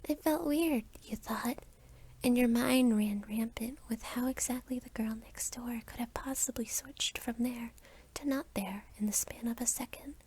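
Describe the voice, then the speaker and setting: A young woman narrating a scripted second-person ASMR story in a soft whisper. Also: a little high, a little slow. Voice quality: whispery, breathy.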